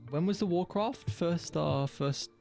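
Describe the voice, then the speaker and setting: A man's voice: fairly high pitch, a little slow, gravelly, darkish tone, soft background music. A man in his mid-twenties casting an esports match, playful and slangy, laughing easily as he talks. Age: 20s. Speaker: esports caster. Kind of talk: casual esports commentary.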